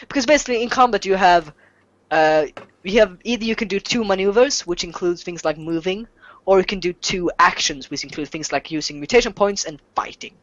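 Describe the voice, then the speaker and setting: A native Swedish speaker running a tabletop game as game master, explaining rules off the cuff in a halting, self-deprecating, jokey way. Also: mid-range voice, fairly bright tone, no echo, dull audio.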